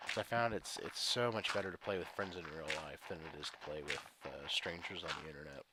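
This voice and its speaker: A man's voice: extremely low pitch, brisk. A man with a head cold, congested and sniffling, chatting casually over gameplay in a rambling, chuckling way. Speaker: gaming video creator. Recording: loud background noise and clicks.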